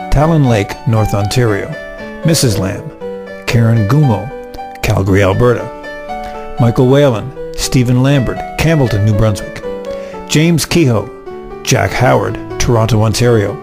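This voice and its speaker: A man's voice: mid-range voice, measured pace. A man reading scripted credits and a cast list as the announcer of a radio drama. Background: guitar music.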